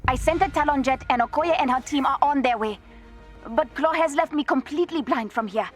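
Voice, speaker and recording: A woman playing a clever, cheeky young princess in a video game, giving instructions in a brisk, wry way. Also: high-pitched, faint music behind, lightly acted. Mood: urgent, focused.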